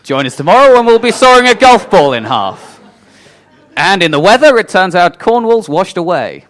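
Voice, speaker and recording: A man's voice: high voice, sing-song, normal speed, a bit bright. A man playing a formal radio newsreader in a comic mock bulletin. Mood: formal, deadpan.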